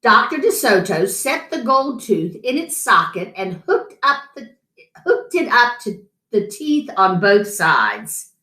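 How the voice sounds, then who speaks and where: Expressive middle-aged woman reading a picture book. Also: on the high side, slow. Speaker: story time host. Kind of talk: animated children's picture-book read-aloud. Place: small room at home, indoors. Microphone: camera mic a few feet away. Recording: roomy echo.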